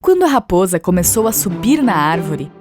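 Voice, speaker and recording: Brazilian woman narrating over clear music. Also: on the low side, very animated, brisk. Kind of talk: scripted children's fable narration. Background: instrumental music.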